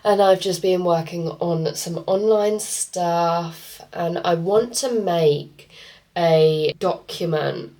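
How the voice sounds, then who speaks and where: A woman's voice: lowish voice, slow pace, warm tone. A woman vlogging casually as a fitness coach, congested from a cold, rambling with lots of fillers. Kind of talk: casual vlog chat to camera.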